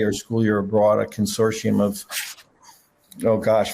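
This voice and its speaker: A middle-aged man, a school head, telling his story on a panel over a video call with many pauses and restarts. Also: fairly low pitch, a little mellow. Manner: halting, many fillers and restarts. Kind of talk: panel talk.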